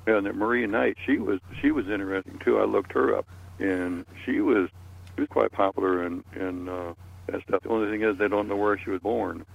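An older man with a very low, gravelly voice tells stories in a casual group chat. He rambles along, circling back and repeating phrases as he goes. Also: a little fast, muffled recording.

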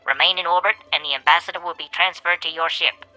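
A pulp sci-fi alien warship captain, acted by a male reader, crisp and businesslike. His voice sits fairly high with a very sharp, forceful edge. He issues clipped, official statements as music plays faintly behind. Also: fairly even intonation, fast talker, poor audio. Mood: stern, official. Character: brisk, businesslike alien warship captain speaking over radio. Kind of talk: pulp science fiction story reading.

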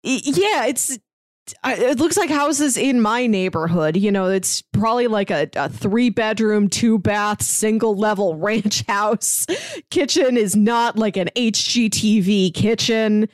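A woman's voice: medium pitch, a little slow, dry recording, clean studio sound. A woman co-hosting a podcast, casually discussing a film in a conversational, easily amused way. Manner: conversational, often laughs while talking. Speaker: podcast co-host.

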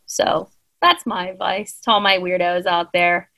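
A woman's voice: fairly low pitch, steady tone, a little slow, creaky, sharp tone. A woman in her late twenties co-hosting a casual chat, giving earnest, rambling advice with many ums. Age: 20s. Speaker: podcast co-host.

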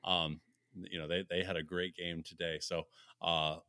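A laid-back man of thirty chats on a sports podcast, rambling a little and doubling his words as he goes. His voice is low, and it is picked up close and dry with no room around it. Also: level tone, normal speed, pushed and bright, no room sound. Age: 30s. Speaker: podcast co-host. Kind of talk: casual sports podcast chat.

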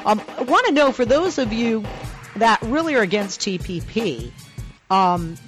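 A woman hosting a radio talk show, asking questions with many pauses and fillers, then reading out call-in details. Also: fairly high pitch, big pitch swings, soft background music.

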